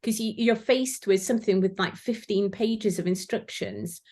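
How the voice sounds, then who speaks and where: A woman's voice: mid-range voice, moderate pace, a little mellow. A woman whose first language is Welsh, chatting casually as a guest and telling stories with many fillers. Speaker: guest, former sewing contestant. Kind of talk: casual chat.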